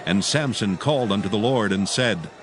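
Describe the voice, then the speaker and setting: Low-pitched solemn male scripture narrator. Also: expressive, normal speed, a little hiss or hum.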